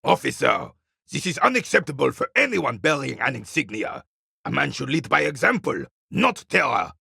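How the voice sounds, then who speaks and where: Gravelly, bitter old war veteran. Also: male voice, medium pitch, sing-song, normal speed, sharp tone, no room sound, clean studio sound, full character voice. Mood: curt, dismissive. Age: older. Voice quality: gravelly, raspy. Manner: curt, scornful, dismissive, old-soldier pomp. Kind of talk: video game character dialogue.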